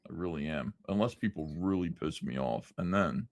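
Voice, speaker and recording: Male livestream host reading chat, swearing, rambling, pitch swinging all over, dry close sound. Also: expressive, moderate pace, dry recording. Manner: loose, profane, rambling with many fillers. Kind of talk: casual livestream chat, reading viewer comments.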